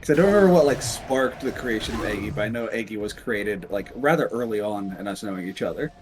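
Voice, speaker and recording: A man's voice: fairly low pitch, lively intonation, fast talker. A man joining a stream as a guest, chatting casually and hesitantly, often trailing off. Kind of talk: casual gaming chat.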